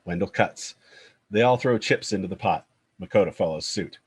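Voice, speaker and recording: A man's voice: deep voice, normal speed, husky. A man giving scripted narration, reading out the scene directions of a screenplay at a table read.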